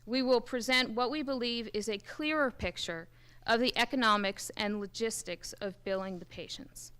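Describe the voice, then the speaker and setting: Woman, smooth clear voice, pitched fairly high. Formal, measured reader of a prepared statement at a public meeting. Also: a bit bright. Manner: formal, measured, deliberate emphasis. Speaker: first aid squad representative. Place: public township committee meeting.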